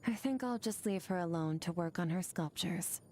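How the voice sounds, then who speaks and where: An actress playing an aloof, stoic young swordswoman in a video game, speaking in a cool, measured, detached way. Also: fairly low pitch, darkish tone, a little hiss or hum, close to an everyday voice. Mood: calm, reflective. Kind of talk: scripted video game character monologue. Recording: game audio with music underneath.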